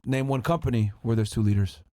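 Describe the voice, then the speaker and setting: A man's voice: mid-range voice, fast, darkish tone, no echo, clean studio sound. A man hosting a casual debate, questioning his guest bluntly and cutting in to challenge her. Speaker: podcast host.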